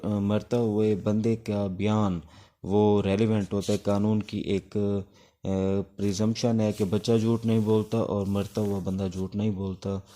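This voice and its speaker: A man with a Pakistani accent giving an informal exam-prep law lecture, explaining things step by step with hesitant pauses. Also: fairly low pitch, dark tone. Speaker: law teacher. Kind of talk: informal exam-prep lecture in Urdu mixed with English legal terms.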